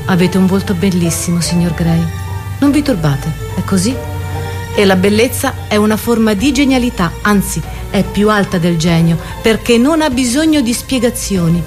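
A worldly, persuasive aesthete played by a woman speaks in a declamatory literary drama, exalting youth and pleasure. The voice sits at an ordinary pitch, with loud music behind it. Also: animated, moderate pace, lightly acted. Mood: seductive, ominous.

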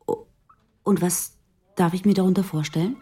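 A woman's voice: fairly low pitch, fairly even intonation, normal speed, background noise, close to an everyday voice. An actress around forty playing a probing, stern female police detective in a radio drama, questioning insistently. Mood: probing, sceptical.

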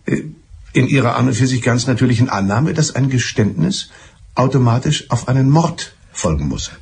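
An actor in a German radio drama playing a mild, evasive, smugly clever betrayed husband who talks in a deliberate, circuitous way. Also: male voice, medium pitch, lively intonation, creaky, darkish tone, slight background noise. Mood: smug, pedantic.

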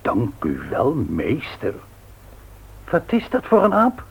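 An actor playing a gruff, curt and suspicious husband and father in a radio play. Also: mid-range voice, huge pitch swings, slow, slight background noise, heavily acted. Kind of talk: Dutch radio play acting. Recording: muffled old radio recording.

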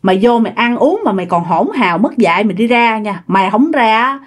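A woman's voice: fairly high pitch, expressive, a little fast. A woman playing a fierce older mother who scolds harshly and makes threats. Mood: threatening.

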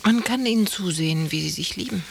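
Slightly hissy radio drama, sultry scheming wife, languid and formal. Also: female voice, medium pitch, expressive, brisk, vocal fry. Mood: seductive. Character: sultry, scheming, seductive married woman Marianne. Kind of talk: literary radio play acting.

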